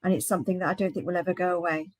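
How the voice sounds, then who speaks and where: A woman's voice: on the low side, fairly even intonation, no room sound. Hesitant woman, dry close sound. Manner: hesitant, halting, many restarts. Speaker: guest. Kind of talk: casual discussion.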